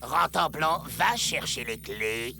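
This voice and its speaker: A short-fused cartoon outlaw leader. The voice is gravelly and swoops wildly as he schemes and barks orders, with music playing clearly behind. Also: medium pitch, slow pace. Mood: bossy, triumphant. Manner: bossy, excitable. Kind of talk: animated cartoon acting.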